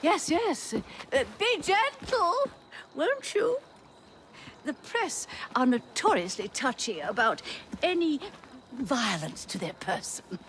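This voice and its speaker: Posh english victorian lady, prim n bossy, highish lilting voice, game cutscene. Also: big pitch swings, a bit bright, a little hiss or hum, full character voice. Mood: dainty, curious. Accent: upper-class English. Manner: prim, brisk, commanding. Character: genteel, imperious, curious Victorian Prime Minister's wife. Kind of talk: video game cutscene acting.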